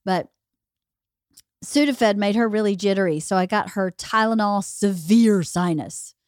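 A woman hosts a podcast and chats casually, rambling from one point to the next. Her voice is slightly hoarse, as if she is losing it, and it sits at an ordinary middle pitch. She is recorded close on a podcast mic with a dry, dead sound. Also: measured pace, darkish tone, no echo. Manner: chatty, rambling storyteller. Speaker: podcast host. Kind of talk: casual chat.

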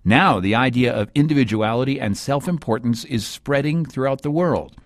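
A man's voice: mid-range voice, moderate pace, no room sound. A man with an American accent hosting a radio show, reading from a script.

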